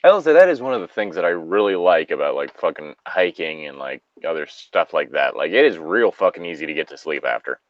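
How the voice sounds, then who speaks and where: A man's voice: a bass voice, big pitch swings, brisk, hardly any pauses, no room sound, lo-fi sound. A man reading a story aloud casually, breaking off into loose, profane banter.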